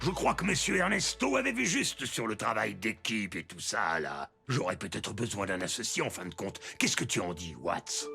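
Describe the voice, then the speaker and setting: A man playing a gruff, boastful superhero cat in a French cartoon dub, with a pompous, dramatic delivery. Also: fairly low pitch, big pitch swings, moderate pace, hardly any pauses, pushed and bright, faint music behind, full character voice. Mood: sheepish, grateful. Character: gruff, boastful male lone superhero cat, easily disgusted.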